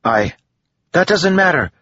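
A man voicing a bold, stubborn teenage girl in an audiobook, loud, insistent and dramatic. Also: mid-range voice, animated, moderate pace, husky, no room sound, muffled recording, voice pushed up.

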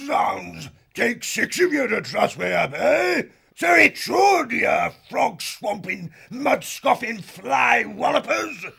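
Very gravelly old hare warrior. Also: male voice, extremely high pitch, very animated, slow, pushed and bright, raised voice, full character voice. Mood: indignant. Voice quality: gravelly, raspy. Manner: blustering, insulting, old-fashioned oaths. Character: bold old male hare warrior, blustering, defiant. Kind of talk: audiobook drama acting.

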